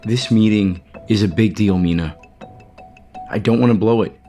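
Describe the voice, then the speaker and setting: A man's voice: fairly low pitch, moderate pace, mellow, faint music behind, natural voice. An actor playing a stressed, reluctant businessman in an audio soap opera, speaking tersely, weary and hesitant. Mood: strained.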